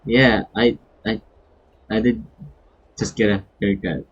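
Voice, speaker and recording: A man's voice: fairly low pitch, fairly even intonation, slow, husky. A man with a Filipino accent giving casual, chatty and reactive commentary on a game livestream, switching between languages. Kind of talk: casual live gameplay commentary. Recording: dull lo-fi stream audio. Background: game music.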